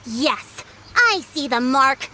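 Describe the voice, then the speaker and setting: A woman voicing a calm, authoritative police chief in a video game, speaking in a measured, formal way. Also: sits high, slight background noise, exaggerated voice. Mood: calm, stern.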